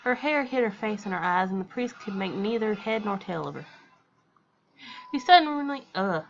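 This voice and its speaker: A woman's voice: mid-range voice, lively intonation, moderate pace, muffled recording, a little hiss or hum. A woman doing casual let's play commentary, reading visual novel narration aloud with slangy, candid asides. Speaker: gaming video host.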